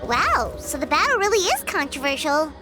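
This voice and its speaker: A woman voicing a tiny, cheeky, childlike fairy companion in a video game with a squeaky voice. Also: sing-song, moderate pace, over music. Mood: curious, cheeky.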